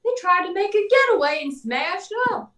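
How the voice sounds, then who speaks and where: A woman playing an excitable police officer in 1940s radio serial style. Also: very high voice, moderate pace, exaggerated voice. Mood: excited. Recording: echoey room, distant from mic.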